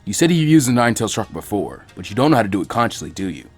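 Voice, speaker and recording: A man's voice: fairly low pitch, big pitch swings, very fast, soft background music. A man voicing an easygoing older male ninja mentor with a lazy drawl. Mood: laid-back. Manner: lazy, laid-back drawl.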